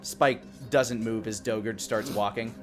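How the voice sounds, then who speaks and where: A man improvising narration as dungeon master of a tabletop game, piecing descriptions together haltingly. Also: on the low side, steady tone, soft background music.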